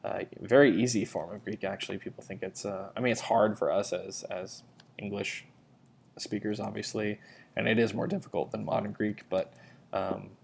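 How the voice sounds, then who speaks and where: A man with a low, gravelly voice walks through his favorite study resources for ancient Greek. He speaks in a flat, even tone, loose and unhurried, with frequent ums and restarts. A faint hiss sits under the recording. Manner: relaxed, rambling with many fillers and restarts. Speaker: Greek language teacher. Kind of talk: casual recommendation talk. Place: church community setting.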